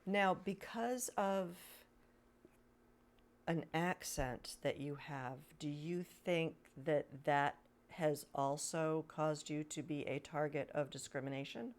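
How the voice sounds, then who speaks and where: A woman's voice: low-pitched, slow. A woman hosting an interview, asking questions in a hesitant way full of fillers. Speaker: podcast host.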